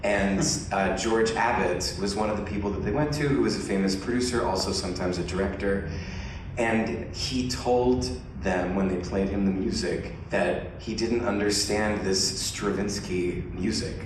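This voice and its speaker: A man, a cast member guest, telling a rambling, excitable anecdote on a theater stage. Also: on the low side, normal speed. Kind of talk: casual storytelling on stage. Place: theater stage before a live audience. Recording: echoey hall from far off, audience noise.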